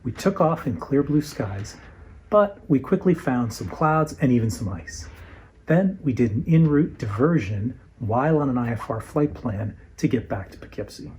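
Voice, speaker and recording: A man's voice: mid-range voice, lively intonation, moderate pace, darkish tone, slight background noise. A man giving a scripted introduction as host of a flying vlog.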